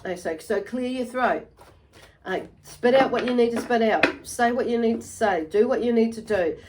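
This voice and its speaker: A woman giving an unscripted tarot reading to viewers, halting and meandering, often repeating and rephrasing herself as she goes. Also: fairly high pitch, background noise. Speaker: tarot reader and channel host.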